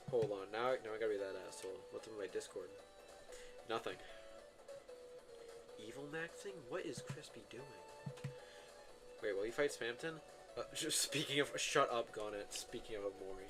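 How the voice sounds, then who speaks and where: A man streaming a video game, giving casual, rambling commentary full of filler words and self-corrections. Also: mid-range voice. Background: game music.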